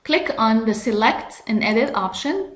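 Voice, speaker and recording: A woman narrates a software tutorial with a fairly high voice, taking it slowly and step by step. Her delivery is measured and instructional, and the recording sounds noticeably roomy and echoey. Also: expressive.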